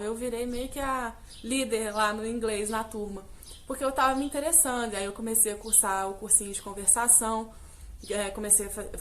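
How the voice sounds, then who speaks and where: Young woman from Brazil, chatty vlogger, fairly high voice, faint hiss behind. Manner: chatty, direct address with many fillers. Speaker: English teacher, vlogger. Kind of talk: casual personal vlog.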